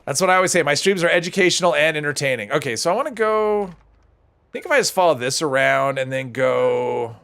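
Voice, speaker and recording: A man's voice: a little high, expressive, fairly quick, fairly bright tone, smooth. A man streaming a video game, chatting casually and answering his viewers' questions as he plays. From United States. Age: older than high school, adult. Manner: casual, chatty, reads and answers chat while playing.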